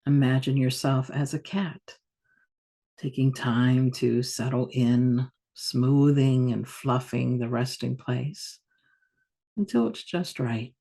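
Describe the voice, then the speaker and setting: Husky, creaky woman guiding meditation. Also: rumbling low, warm tone. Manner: soothing, unhurried, with long pauses. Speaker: meditation teacher. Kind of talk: guided yoga nidra meditation.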